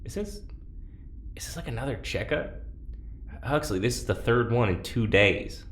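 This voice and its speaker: An actor playing a wary, sardonic prisoner and test subject in an audio drama, blunt and sarcastic. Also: male voice, mid-range voice, expressive, moderate pace, fairly bright tone. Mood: sardonic, irritated. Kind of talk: naturalistic audio drama acting. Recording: roomy, echoing, faint background noise.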